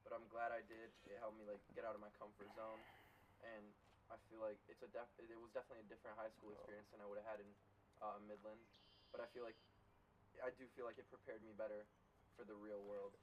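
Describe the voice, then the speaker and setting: A man's voice: lowish voice, flat delivery, quick pace, recorded from a distance, a little hiss or hum, rough recording. A man of about eighteen, originally from Brazil, chatting casually as a podcast co-host in a rambling, slangy way. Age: around 18. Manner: slangy, rambling, says bro and like a lot. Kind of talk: casual chat.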